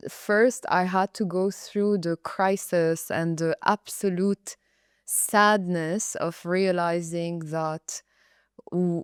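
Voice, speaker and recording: A young adult woman from Congo, speaking slowly and thoughtfully as a podcast guest, with pauses and fillers. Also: on the low side, smooth, no room sound, clean studio sound. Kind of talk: reflective personal interview.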